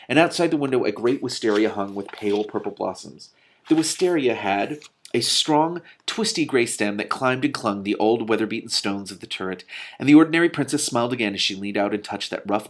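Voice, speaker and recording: A man hosting a read-aloud, giving an expressive, emphatic storybook reading with casual asides. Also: mid-range voice. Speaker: host reading a book aloud with commentary.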